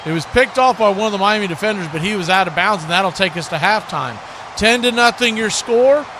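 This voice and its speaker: A man's voice: high voice, a little fast. A man doing live play-by-play football commentary in a conversational way, mixing calls with his own opinions. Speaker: play-by-play announcer for a fan watch-party stream. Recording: clearly noisy live stream audio.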